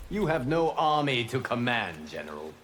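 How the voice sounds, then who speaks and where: An actor playing a calm, solemn, merciful guardian god in a video game, speaking in a measured, formal, earnest way. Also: male voice, mid-range voice, soft background music. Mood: stern.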